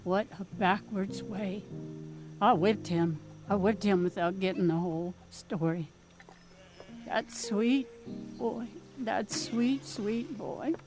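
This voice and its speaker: A woman's voice: medium pitch, slow pace, over music. A woman playing a wry, plainspoken farm mother in an audio drama, telling homespun, rambling stories in a conversational way. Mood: remorseful. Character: folksy farm mother, wry, plainspoken, remorseful about her son.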